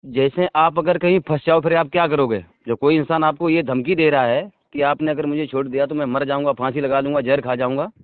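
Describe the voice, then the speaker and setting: A man's voice: on the high side, fairly even intonation, brisk, hardly any pauses, dry recording. Insistent man on a narrow phone line. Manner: insistent, self-justifying, asks to be heard. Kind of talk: phone conversation. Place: phone call. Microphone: telephone. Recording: tinny, dry phone call recording.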